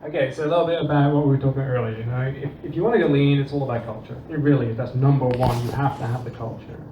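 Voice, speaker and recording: A man with a Dutch accent, giving an animated conference talk and acting out quoted dialogue. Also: medium pitch, racing. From Netherlands and England. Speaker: conference presenter. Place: conference room. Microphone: distant room recording. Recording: distant, roomy, dull with faint noise.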